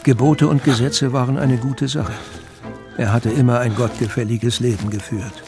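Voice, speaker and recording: A man's voice: mid-range voice, steady tone, moderate pace. A man narrating a scripted, dramatic audiobook as a storyteller. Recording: music bed under narration.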